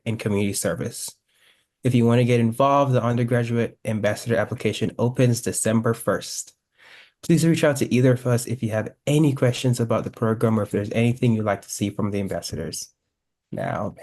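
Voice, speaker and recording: A man's voice: medium pitch, darkish tone. A young man, a student host, politely reading prepared welcome remarks for an online event. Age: young adult. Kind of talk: scripted webinar welcome.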